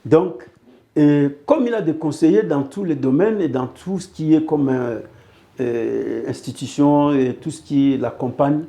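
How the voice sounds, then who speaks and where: A man's voice: fairly high pitch, unhurried, dark tone. A man from Congo speaking earnestly in a political interview, pressing his points by repeating phrases. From Congo (DRC). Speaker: interview guest.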